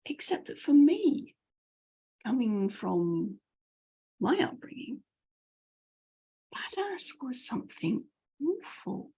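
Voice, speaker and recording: A woman's voice: a little high, sing-song, slow pace, vocal fry, long pauses, warm tone, breathy edge, poor audio. A British woman coaching her audience to camera, telling anecdotes with self-deprecating asides. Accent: British English. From United Kingdom. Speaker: message clarity coach.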